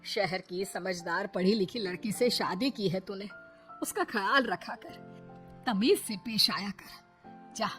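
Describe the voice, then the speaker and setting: A woman voicing a long-suffering, kindly older mother-in-law in a cartoon moral story, gentle and pleading. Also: mid-range voice, a little slow, close to an everyday voice. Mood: gentle advising. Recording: music under the voice.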